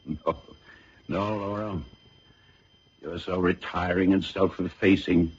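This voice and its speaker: A man's voice: deep voice, fairly even intonation, slow pace, breathy edge, full character voice. An actor playing a kindly, courtly older father in a radio drama, genteel and musing, with a slightly hesitant delivery. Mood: fond, teasing. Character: kindly, courtly, self-deprecating aging father. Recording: muffled vintage radio recording, faint noise.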